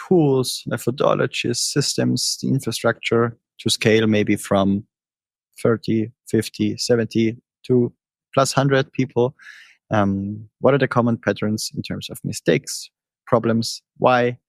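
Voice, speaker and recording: A man hosting a podcast interview, speaking in a measured, reflective way with frequent ums. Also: mid-range voice, vocal fry, no room sound. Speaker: podcast host. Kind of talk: conversational interview.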